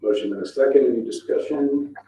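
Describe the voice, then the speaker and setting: A man's voice: mid-range voice, brisk, noisy background. A man chairing a town board meeting in an informal, procedural way. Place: indoor public meeting room. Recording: muffled, echoey meeting room, distant mic.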